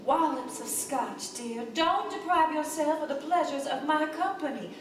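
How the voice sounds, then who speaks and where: A woman's voice: sits high, moderate pace, pitched above the performer's own voice, natural voice. A woman voicing an effusive, grandly sociable party host in a live storybook reading. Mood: effusive, coaxing. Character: gushing, hospitable party host coaxing guests to drink. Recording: distant, echoey, noisy live theater recording.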